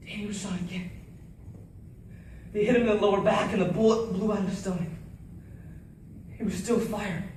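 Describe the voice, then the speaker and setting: A man acting a haunted Navy SEAL survivor in an intense, theatrical first-person account of a deadly battle. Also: high-pitched. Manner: theatrical, intense, swinging from hushed to shouting. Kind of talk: dramatic first-person monologue. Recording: very echoey, distant, faint noise.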